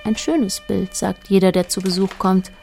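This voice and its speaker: An actress playing a detached, observant narrator in a radio drama, speaking in a measured, matter-of-fact way. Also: female voice, mid-range voice, fairly even intonation, vocal fry, dark tone, faint music behind, close to an everyday voice. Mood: sober.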